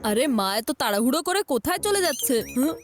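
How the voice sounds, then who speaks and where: A woman's voice: high-pitched, sing-song, fairly quick, a little hiss or hum. A woman voicing a mischievous, meddling little boy in a cartoon, cheeky and theatrical, with music behind. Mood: sly. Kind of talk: Bengali cartoon dub acting.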